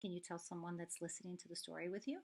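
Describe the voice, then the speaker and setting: A woman elementary school teacher reading a story aloud and talking to children, expressive and full of questions. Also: lowish voice, fast talker. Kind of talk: children's read-aloud and lesson.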